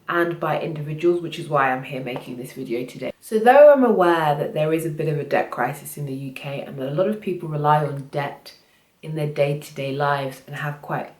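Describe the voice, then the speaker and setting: A young woman talks to her camera in a low voice, her voice slightly off from a cold. She explains things earnestly and often restarts her sentences. The room around her sounds echoey. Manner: earnest, self-correcting explainer. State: has a cold. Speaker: finance vlogger. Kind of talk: casual talk to camera.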